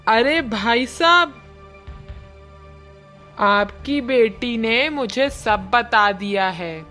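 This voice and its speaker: A woman plays a helpful, slightly nervous uncle in a horror cartoon. The voice is fairly high and slow, placating and deliberate, over faint music. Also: lively intonation, pushed and bright, full character voice. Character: obliging, nervous adult man, a helpful uncle. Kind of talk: animated Hindi horror story dialogue.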